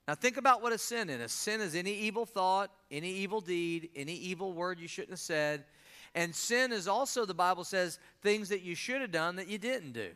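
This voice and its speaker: A pastor, preaching a sermon and drawing answers from the congregation. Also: male voice, high-pitched, pushed and bright, clean tone. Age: 50s. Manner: call-and-response preaching, asks the congregation to answer.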